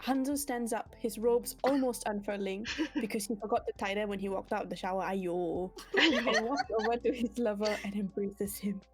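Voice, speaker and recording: A woman's voice: mid-range voice, moderate pace, hardly any pauses, soft background music. A giggly woman reading a story comically on a stream, breaking off to make asides. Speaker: streamer reading fan fiction aloud.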